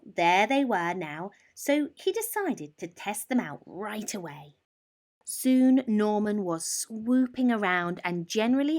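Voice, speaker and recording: A woman storyteller reading a children's picture book expressively, with deliberate phrasing, drawn-out emphasis and dramatic pauses. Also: medium pitch. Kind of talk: expressive bedtime story narration.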